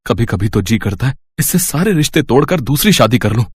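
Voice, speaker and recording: A man's voice: mid-range voice, very animated, brisk, husky, dry recording, studio quality. A man voicing a resentful, callous husband who complains with contempt in a family morality story. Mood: bitter complaint.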